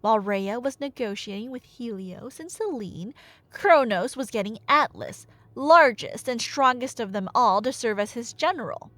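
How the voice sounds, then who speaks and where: A woman's voice: fairly high pitch, animated, moderate pace, a bit bright, no echo. A woman telling a story in a casual, rambling ASMR style, playful and slangy with wry asides. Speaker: storyteller playing the listener's nerdy girlfriend.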